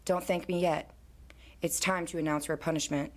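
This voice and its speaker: Woman playing a stern, low-voiced grandmother chief. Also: steady tone, close to an everyday voice. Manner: formal, measured pronouncements.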